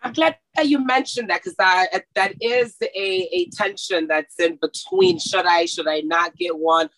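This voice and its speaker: A woman's voice: fairly low pitch, unhurried, a bit bright, poor audio. A woman who grew up in Mexico and went to school in Texas, a massage therapist chatting casually in an interview, laughing often and restarting sentences.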